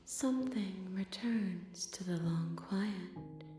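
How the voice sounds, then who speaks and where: A woman as a slow, echoing ancient entity. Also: medium pitch, close to an everyday voice. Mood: serene, solemn. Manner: slow, solemn, cryptic. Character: vast, calm, ancient female otherworldly entity. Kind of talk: video game voice acting. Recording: echoing voice over music.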